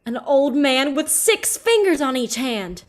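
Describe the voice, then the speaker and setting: A woman's voice: high-pitched, big pitch swings, a little slow. A woman playing an uneasy gun moll in a radio play, plaintive and questioning. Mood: sly. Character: nervous young gun moll Marlene, uneasy female accomplice. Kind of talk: vintage radio drama acting.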